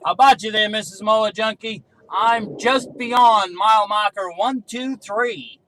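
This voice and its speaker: A man with a high-pitched, bright voice works a CB radio. He talks in casual two-way radio style, checking in as an operator would. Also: unhurried, slight background noise, low-quality recording. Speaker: CB radio operator testing range from a car.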